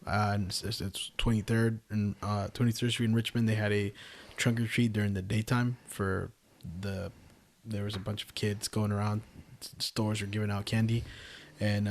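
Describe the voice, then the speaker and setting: Blunt man chatting, slightly low voice. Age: adult. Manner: profane, blunt, halting with repeats. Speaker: podcast co-host. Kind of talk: casual chat.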